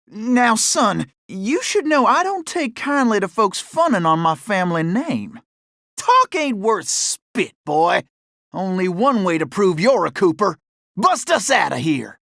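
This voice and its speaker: An actor voicing a cocky, folksy raccoon gunslinger with a Southern cowboy drawl for an animated video game. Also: male voice, high voice, no room sound, studio quality, exaggerated voice. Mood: gruff, wary. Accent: American Southern cowboy drawl. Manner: folksy, excitable, exclamatory cowboy slang. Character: cocky, folksy cartoon Old West raccoon gunslinger, male.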